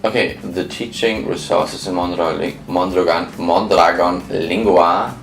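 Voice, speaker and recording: A man's voice: sits low, steady tone, unhurried, vocal fry. A man from Germany, a native German speaker with a German accent, teaching English and giving an interview testimonial. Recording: distant mic, faint music. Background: faint music.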